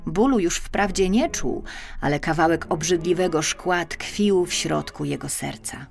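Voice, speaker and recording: A woman narrating a children's fairy tale as an audiobook reader, expressive and deliberate, over music. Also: lowish voice, gravelly.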